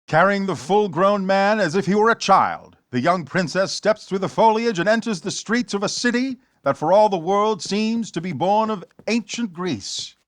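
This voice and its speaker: A man's voice: high voice, animated, normal speed, few pauses, no room sound, clean studio sound. A man narrating a superhero radio serial in a grand, theatrical 1940s storytelling style. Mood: grand, dramatic.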